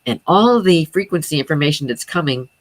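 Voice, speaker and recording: A woman with a low voice for a woman, a sound healing teacher speaking as a guest, explaining earnestly with many fillers and restarts. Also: lively intonation, fairly quick, dry recording.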